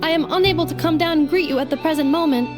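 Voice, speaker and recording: A woman plays a young female robot from a video game, high-voiced and polite. She speaks formally and logically, with measured, clipped computer-like phrasing. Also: music in the background, close to an everyday voice. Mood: calm, apologetic.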